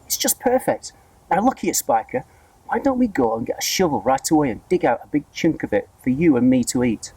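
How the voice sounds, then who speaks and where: A man's voice: fairly high pitch, sing-song, fairly quick, slightly rough, dry recording, far from the mic, pitched above the performer's own voice, low-quality recording. Man acting a shrieking, greedy fat aunt. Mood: greedy, impatient. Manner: shouted, gushing exclamations.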